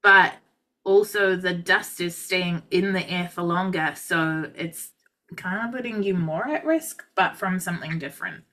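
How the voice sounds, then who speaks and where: A woman hosts a relaxed podcast conversation and explains salon practice as an educator. Her voice is bright and forward, and her talk is loose and chatty, full of fillers. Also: lowish voice, unhurried. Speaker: podcast host and nail educator. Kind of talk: casual chat.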